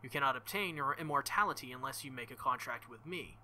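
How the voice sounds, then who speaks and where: A man reading aloud as a stern, earnest young woman knight-king, in formal, measured speech without contractions. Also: medium pitch, pushed and bright, slight background noise. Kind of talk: reading visual novel dialogue aloud.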